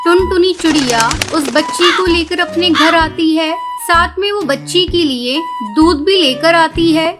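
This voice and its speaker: Female children's story narrator, extremely high voice, scripted delivery over clear music. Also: unhurried, hardly any pauses.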